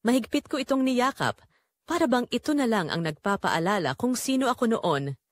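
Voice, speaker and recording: A woman's voice: on the high side, expressive, moderate pace. A woman playing a weary, neglected mother who tells her own story in an emotional, pleading audio drama narration. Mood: wistful, grieving. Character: Marites, weary, neglected, long-suffering Filipino mother.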